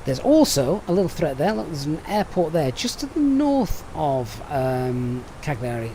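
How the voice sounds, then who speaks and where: A man with a Sheffield accent talks through a flight plan step by step, then chats easily with viewers. His voice sits a little higher than most men's. Faint noise hums under the recording. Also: big pitch swings, measured pace, warm tone, rough recording. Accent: Sheffield English. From Sheffield, England. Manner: methodical, chatty, reads out viewer comments. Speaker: flight sim streamer. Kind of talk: live-stream briefing and chat.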